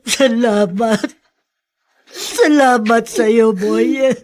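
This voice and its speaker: Slow, sorrowful grandparent. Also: female voice, fairly high pitch, steady tone. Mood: grateful, grieving. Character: sorrowful, caring grandparent of a gravely ill child. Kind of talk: radio drama acting.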